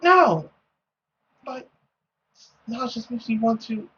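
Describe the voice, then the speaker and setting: A man voicing a timid, gentle young woman, a devoted daughter, soft and halting. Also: very high-pitched, animated, lo-fi sound, slight background noise, pitched above the performer's own voice, full character voice. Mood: doubtful.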